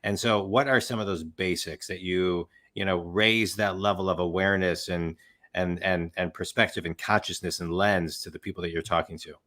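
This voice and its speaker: A man's voice: low voice, steady tone, normal speed, fairly bright tone. A man hosting a business interview, asking long, rambling, conversational questions.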